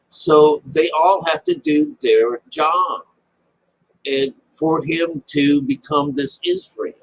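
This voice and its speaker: Husky, animated man explaining scripture. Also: medium pitch, low-quality recording. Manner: rambling, halting, often breaking off and restating. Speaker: Bible commentator. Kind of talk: informal spoken Bible commentary.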